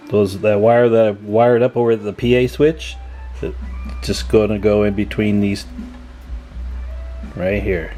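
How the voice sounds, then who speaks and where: A man with a fairly low voice talks viewers through hands-on repair work. His delivery is casual and folksy, with joking asides as he thinks aloud. Music plays behind him. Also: warm tone. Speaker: hobbyist radio repair demonstrator, channel host.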